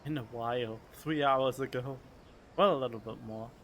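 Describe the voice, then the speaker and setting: A man's voice: mid-range voice, moderate pace, a bit bright, a little hiss or hum. A man streaming a game with casual, playful commentary, reacting aloud.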